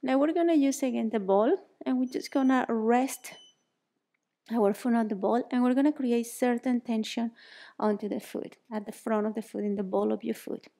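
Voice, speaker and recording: A woman from Mexico with a Mexican Spanish accent, in her thirties, guiding a yoga exercise in a bright indoor studio, soothing and encouraging, often dropping to a near whisper. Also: fairly high pitch, fairly even intonation, normal speed. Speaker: yoga teacher. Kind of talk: guided exercise instruction.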